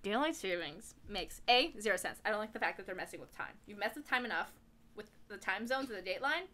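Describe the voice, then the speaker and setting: Animated, bright-voiced young woman podcaster. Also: medium pitch, fast. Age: young adult. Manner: giggly, opinionated, animated. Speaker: podcast co-host. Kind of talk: casual chat among friends.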